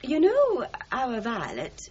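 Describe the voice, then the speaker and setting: A prim, insistent middle-aged mother in a radio comedy drama. Her voice sits high and leaps about as she presses each point. The old broadcast is dull, with a light hiss. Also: wildly swooping pitch, slow pace, a little mellow, heavily acted. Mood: confiding, awkward. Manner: emphatic, genteel euphemisms.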